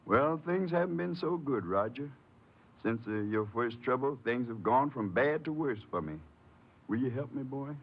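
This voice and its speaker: A man's voice: medium pitch, lively intonation, normal speed, slightly rough. An actor playing a pious, wheedling old father in a 1950s American radio drama, folksy and cajoling. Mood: wheedling, desperate. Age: older. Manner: folksy, scripture-quoting, cajoling. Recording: muffled old radio recording.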